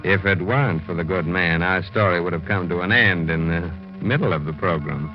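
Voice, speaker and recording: A man's voice: sits low, a little fast, music in the background, natural voice. A man playing a sardonic, ghoulish horror host with tongue-in-cheek mock politeness in 1940s radio style. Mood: gleeful mockery. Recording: dull old radio recording.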